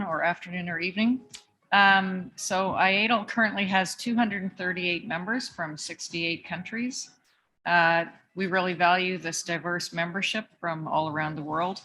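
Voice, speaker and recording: Bright-voiced woman secretary giving a report. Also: fairly low pitch, poor audio. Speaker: association secretary. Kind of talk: membership report at a meeting.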